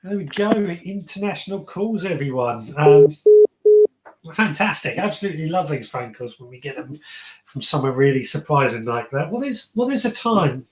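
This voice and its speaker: A man with a slightly high voice hosts a relaxed gardening phone-in. He is agreeable and hesitant, doubling back on words. The sound is roomy and echoing, as in a shed. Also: moderate pace. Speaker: podcast host taking phone-ins. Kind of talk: casual gardening chat.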